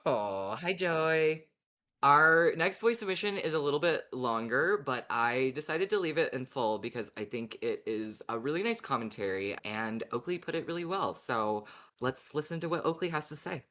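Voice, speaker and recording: A woman with a strikingly deep voice hosts in a relaxed conversational style. She talks casually and off the cuff, as a podcast host would. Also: moderate pace.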